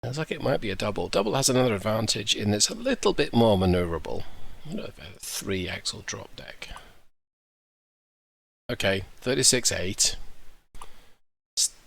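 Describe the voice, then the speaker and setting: A man hosting his gaming channel, chatting casually through his gameplay and thinking aloud as he goes, with a croaky voice. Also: fairly low pitch, big pitch swings, moderate pace, pauses often, fairly bright tone. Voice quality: croaky, gravelly.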